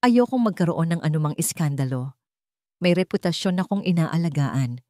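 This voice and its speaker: A woman's voice: deep voice, animated, normal speed, dark tone, dry recording, clean studio sound. Woman playing a curt, cold, wealthy businessman. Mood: cold, impatient. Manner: clipped, cold, commanding. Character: curt, wealthy young male CEO, businesslike and impatient. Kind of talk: Tagalog radio drama storytelling.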